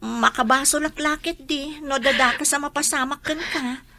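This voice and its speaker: A woman's voice: high-pitched, fairly even intonation, moderate pace, creaky, pushed and bright, exaggerated voice. A woman playing a frank, concerned neighbor in a radio drama, earnest and direct, with an Ilocano accent. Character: Nancy, frank, concerned female neighbor bearing bad news.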